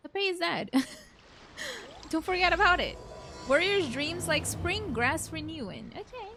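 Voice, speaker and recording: A woman's voice: very high-pitched, lively intonation, measured pace. A woman game streamer giving casual let's play commentary. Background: game music.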